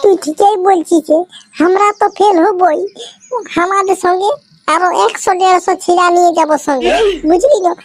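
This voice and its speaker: A performer voicing an excitable, nagging teenage schoolboy in a comic cartoon, with insistent rustic slang and a Purulia accent from West Bengal. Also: very high voice, moderate pace, dry recording. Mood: excited.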